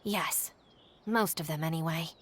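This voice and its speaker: A woman's voice: deep voice, measured pace, fairly bright tone, slight background noise. A woman voicing a self-assured, defiant young female gang member in a video game, firm and matter-of-fact. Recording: background music under the dialogue.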